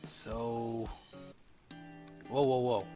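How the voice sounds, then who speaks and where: A man's voice: medium pitch, little pitch movement, drawn-out words. Male let's play host, mid-range voice, informal and jokey, music underneath. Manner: joking asides and exclamations over the story. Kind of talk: casual game commentary, reading aloud and reacting. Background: game music with plucked guitar.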